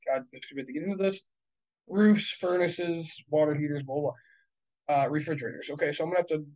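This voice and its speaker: A man in his thirties, a real estate investor, talking casually and bluntly, swearing and working through numbers out loud. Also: fairly high pitch, rough recording. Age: 30s. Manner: profane, blunt, works through numbers aloud. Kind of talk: casual podcast chat.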